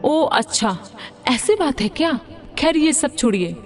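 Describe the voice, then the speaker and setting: Spoiled high-voiced young city bride, acted by a woman. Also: animated, measured pace. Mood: whiny complaining. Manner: whiny, demanding, mixes English words into Hindi. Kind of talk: Hindi cartoon moral story dialogue.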